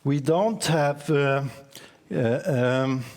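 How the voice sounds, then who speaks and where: A man speaking as a panelist from banking in a panel discussion, in a measured, reasoned way. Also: mid-range voice, darkish tone.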